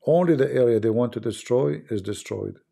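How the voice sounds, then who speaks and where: A man's voice: medium pitch, fairly quick, creaky, warm tone. A man with a Middle Eastern accent hosting a casual live stream, talking bluntly and mocking what he reads. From Middle East.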